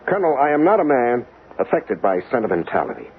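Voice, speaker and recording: A man acting in a 1970s radio drama as a reserved government investigator, measured and precise, on a lo-fi broadcast recording. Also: medium pitch, huge pitch swings. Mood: dry, efficient. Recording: lo-fi old radio broadcast, faint noise.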